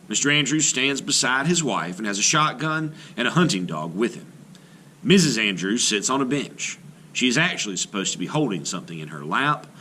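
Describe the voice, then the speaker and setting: Bright, lively male read-aloud teacher. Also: medium pitch, slight background noise. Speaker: art teacher and host. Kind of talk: read-aloud lesson narration for children.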